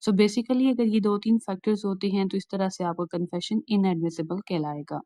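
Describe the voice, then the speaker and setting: Mellow-voiced Pakistani woman lecturing. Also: mid-range voice, steady tone, moderate pace, no echo. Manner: methodical, repeats and rephrases points. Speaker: law lecturer. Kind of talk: explanatory lecture, switching between Urdu and English.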